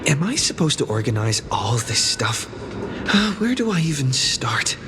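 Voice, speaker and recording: An actor playing a weary, put-upon young newcomer at an office job in a video game, grumbling and sighing. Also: male voice, medium pitch, big pitch swings, normal speed, over music, close to an everyday voice. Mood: weary, overwhelmed.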